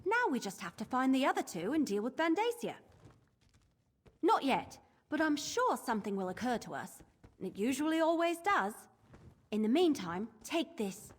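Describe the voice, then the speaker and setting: An actress playing a spirited, plucky young adventurer, chatty and confident, in a video game. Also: female voice, sits high, big pitch swings, normal speed. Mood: upbeat, determined. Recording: reverberant, faint background ambience.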